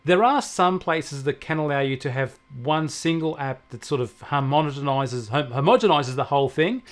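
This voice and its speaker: Tech co-host, male, slightly high voice, explanatory and anecdotal in casual discussion. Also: moderate pace. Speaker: technology expert co-host.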